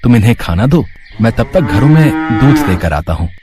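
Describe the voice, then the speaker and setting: A man's voice: medium pitch, big pitch swings, normal speed, a loud crowd, natural voice. A man playing a devout, anxious village husband in a story, speaking in an earnest, pleading way. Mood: fond. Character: devout, anxious village husband who loves his cows. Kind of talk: story voice acting.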